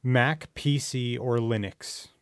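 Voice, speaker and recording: A man hosting a podcast, putting casual quick-fire questions to a guest in a playful, teasing way. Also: medium pitch, no room sound. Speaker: podcast host.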